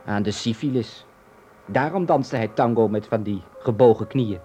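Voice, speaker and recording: A man's voice: on the low side, moderate pace, warm tone, slight background noise, close to an everyday voice. An actor playing a brash, teasing young companion of a sick boy in a Dutch radio drama, blunt and mocking. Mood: gleefully mocking.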